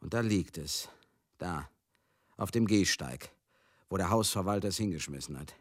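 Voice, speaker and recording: A garrulous, swooping showbiz character. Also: male voice, deep voice, animated, normal speed, no room sound, studio quality. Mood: chatty reminiscing. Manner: chatty, theatrical, swinging into outbursts. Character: garrulous, rough-mouthed old showbusiness man, sentimental and excitable. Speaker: actor in a radio play. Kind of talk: German radio drama monologue.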